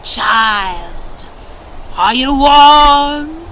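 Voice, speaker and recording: A woman doing a slow, booming old frost spirit. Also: animated, fairly bright tone, a little hiss or hum, exaggerated voice. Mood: calling slowly. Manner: slow, drawn-out calling.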